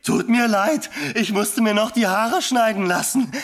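Vain junior cartoon firefighter: theatrical, sheepish, extremely high for a man. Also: a little slow, noisy background. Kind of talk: animated series dub acting.

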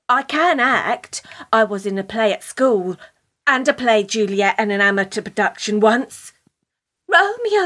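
A woman's voice: mid-range voice, a bit bright. An actress in a radio play voicing an eager, pushy female fan, theatrical and pleading.

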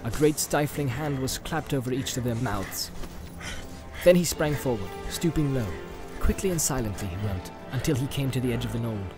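A man's voice: medium pitch, animated, moderate pace. Male audiobook narrator over music. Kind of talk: dramatic scripted narration. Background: music and sound effects.

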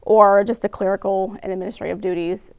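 American woman with creaky voice. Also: medium pitch, fairly even intonation, fairly quick, darkish tone. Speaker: 911 dispatcher, interview guest. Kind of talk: explanatory studio interview answers. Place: indoor TV studio at a desk.

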